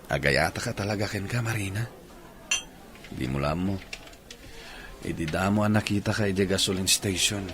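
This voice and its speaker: A man's voice: deep voice, moderate pace, pauses often, noisy background, natural voice. An actor playing a big-hearted, teasing village man with an Ilocano Filipino accent, bantering in a comedy radio drama. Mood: earnest. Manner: animated, bantering.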